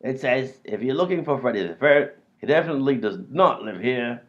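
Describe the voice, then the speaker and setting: A man's voice: mid-range voice, expressive, a little fast, fairly bright tone, lightly acted. A man voicing a dim, self-assured robber in a comedy radio play. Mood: blustering. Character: bumbling male robber, blustering, dim. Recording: dull lo-fi audio.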